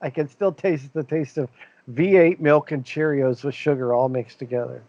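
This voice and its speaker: A man's voice: on the high side, fairly even intonation, moderate pace, a little mellow, no room sound, muffled recording. A man around fifty co-hosting a podcast, telling rambling stories in casual chat and laughing often. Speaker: podcast co-host.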